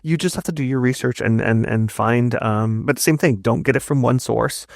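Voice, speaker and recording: A man in casual interview mode, with an average-pitched voice that has some gravel and fry in it. He explains things haltingly, repeating words as he goes, and the sound is very dry. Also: no room sound. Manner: halting, repetitive, explanatory delivery with doubled words. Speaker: guest, narrative designer and writer.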